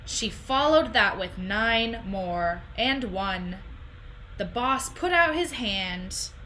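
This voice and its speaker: A young woman reading a children's picture book aloud in an expressive, deliberate storytelling style, recorded at home on a computer microphone. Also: medium pitch, a little hiss or hum, poor audio. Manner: animated, deliberate phrasing.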